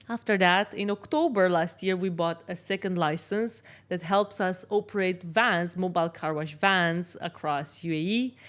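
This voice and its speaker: A middle-aged woman from Romania, telling her own story confidently as an interview guest. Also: medium pitch. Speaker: interview guest, business owner. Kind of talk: conversational personal storytelling.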